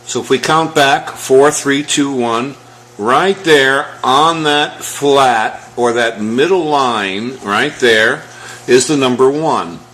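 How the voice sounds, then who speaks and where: A man giving an explanatory music lesson as a teacher, talking step by step in a measured manner. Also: medium pitch, fairly bright tone, roomy sound, slight background noise, poor audio.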